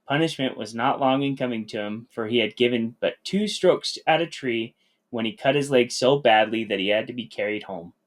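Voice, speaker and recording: A man's voice: mid-range voice, moderate pace. A man reading a scripted children's story aloud. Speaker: storybook reader.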